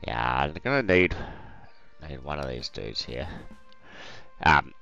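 A man with a croaky voice hosting a let's play, casually thinking aloud over a strategy game with lots of ums. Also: a bass voice, expressive, slow, bright, forceful tone, lo-fi sound, faint music behind.

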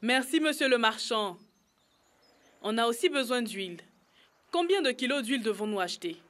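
A woman's voice: a little high, expressive, moderate pace, fairly bright tone. A woman voicing an earnest, curious little boy in a children's cartoon, asking things politely. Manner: inquisitive, polite.

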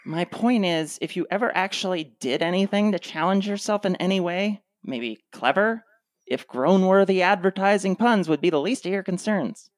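A woman's voice: lowish voice, moderate pace, no echo, natural voice. An actress playing a cutting, sarcastic wife who is fed up with her husband in a radio play. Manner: dry, sarcastic.

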